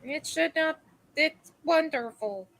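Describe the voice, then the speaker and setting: A woman's voice: high voice, slow, fairly bright tone, slight background noise. A woman from Colorado playing a tabletop role-playing game, chatting in a playful, giggly way. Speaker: player. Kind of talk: casual tabletop chat.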